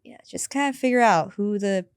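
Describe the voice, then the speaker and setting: Host: woman, relaxed chatty delivery, smooth voice, dry close mic. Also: medium pitch, a little fast, dry recording. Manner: relaxed, chatty, many fillers. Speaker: podcast host. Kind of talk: casual interview chat.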